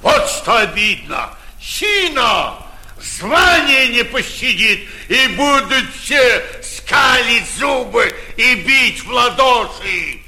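An actor playing an outraged, humiliated old provincial mayor in a theatrical, exclamatory 1940s Russian stage style. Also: male voice, extremely high pitch, big pitch swings, slow, sharp tone, heavily acted. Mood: raging, bitter. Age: older. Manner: theatrical, exclamatory, self-berating. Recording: 1949 theatre radio production.

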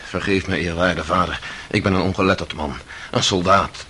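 An actor playing a hot-tempered, blunt soldier, an aggrieved eldest son, in a radio drama. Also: male voice, low voice, steady tone, moderate pace, bright, forceful tone, slight background noise. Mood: humbled, bitter. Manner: impulsive, blunt, emphatic.

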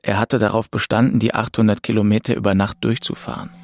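A man reading scripted first-person literary narration as an audiobook narrator, with a creaky voice. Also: on the low side, level tone, quick pace, noisy background.

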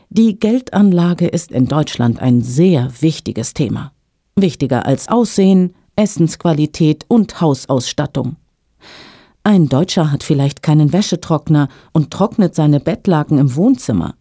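A woman whose native language is Russian, reading her own magazine column aloud in a measured, clear way for language learners. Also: on the low side, mellow, no echo, clean studio sound.

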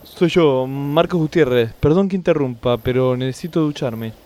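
A man's voice: mid-range voice, moderate pace, very noisy, natural voice. A man playing a polite, apologetic club secretary who intrudes on others in a comic radio play.

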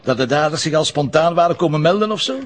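A man playing a gruff, sarcastic police commissioner with a Flemish accent in a radio drama. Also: a little high, animated, fast talker, natural voice. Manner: sardonic, grumbling.

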